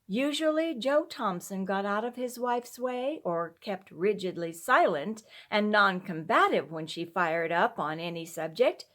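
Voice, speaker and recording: Slow-paced woman reading a classic tale. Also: medium pitch, expressive, a bit bright. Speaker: storyteller. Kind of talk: scripted storybook narration.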